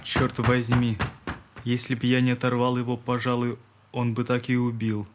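An actor playing a cynical, contemptuous brother in an audio drama, with a cool, controlled and disdainful delivery. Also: male voice, mid-range voice, completely flat delivery, normal speed, slight background noise, natural voice.

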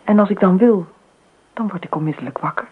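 A woman's voice: mid-range voice, a little fast, husky. Dreamy, unsettled woman, thin radio sound. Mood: dreamy, musing. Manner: murmurs asides to herself, often near whispering. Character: dreamy married woman, eerily detached murderess, increasingly panicked. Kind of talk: radio play acting. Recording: muffled phone-like old radio recording.

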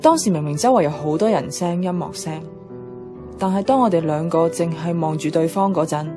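A woman's voice: low voice, a little fast, natural voice. Casting: actress as dramatic, lovelorn young office woman, Hong Kong Cantonese, wry confessional radio-play narrator, music bed. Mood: wistful. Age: young adult.

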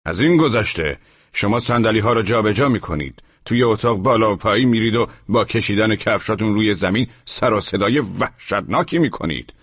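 A man's voice: lowish voice, a bit bright, slightly rough, voice pushed up. A man voicing a tall, gaunt, indignant neighbor who scolds with formal menace in an audiobook. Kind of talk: audiobook character voice. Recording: dull, muffled, echoing room recording.

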